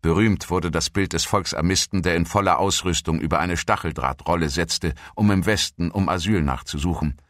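A man reading scripted historical narration as a documentary narrator, in a measured, formal manner. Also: low-pitched, a bit bright, no room sound, studio quality. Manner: measured, formal read-out delivery.

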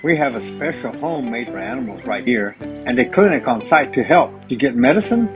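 A man's voice: mid-range voice, expressive, fairly quick, phone-quality sound, over music, close to an everyday voice. A man voicing a friendly rescued dog who shows newcomers around, in an upbeat tour-guide manner. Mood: cheerful.

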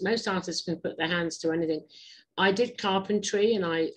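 A woman hosting an interview show, chatty and digressive, quick to laugh. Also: medium pitch, rough recording. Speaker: host. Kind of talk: casual interview chat.

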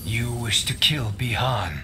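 An actor playing a proud, composed warrior in a fighting game, speaking in a formal, measured way. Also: male voice, medium pitch, bright, forceful tone. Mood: solemn. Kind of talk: video game dialogue. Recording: game audio with background noise.

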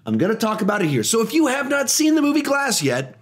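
A man in his thirties reviewing a film in a small home studio, animated and a little hoarse from a cold. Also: very high-pitched. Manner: animated, gestures a lot, talks in quick bursts. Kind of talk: energetic spoiler movie review.

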